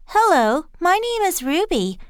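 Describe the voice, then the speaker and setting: A woman reading scripted dialogue and answer options for an English listening test, slow and clearly enunciated. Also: very high-pitched, big pitch swings, no echo, clean studio sound.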